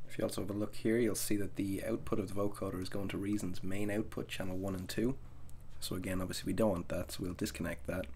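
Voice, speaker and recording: A man narrating a software tutorial as the instructor, explaining each step methodically. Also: low voice, slight background noise.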